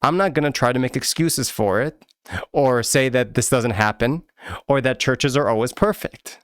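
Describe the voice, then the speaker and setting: A man's voice: medium pitch, normal speed, a bit bright, no echo. An American man hosting his own channel, giving candid, earnest commentary with touches of dry humor. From United States. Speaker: YouTube channel host. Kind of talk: personal commentary to camera.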